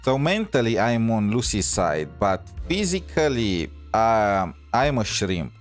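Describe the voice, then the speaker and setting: A man doing casual, joking gameplay commentary as a streamer, in a hesitant, halting way. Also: lowish voice, very animated, soft background music. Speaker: gaming streamer.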